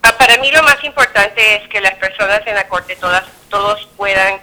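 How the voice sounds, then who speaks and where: A very bright, forceful woman in her thirties from Peru, answering an interviewer's questions as a judge. She speaks in a halting way, full of fillers and self-corrections. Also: medium pitch, steady tone, low-quality recording.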